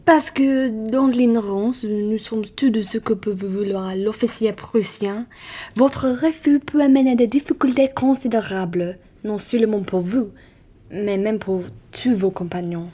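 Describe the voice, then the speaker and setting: A woman's voice: medium pitch, a little slow, dark tone, lightly acted. A woman playing a courteous, condescending upper-class traveller in an amateur radio play, formal and persuasive. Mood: polite, persuasive.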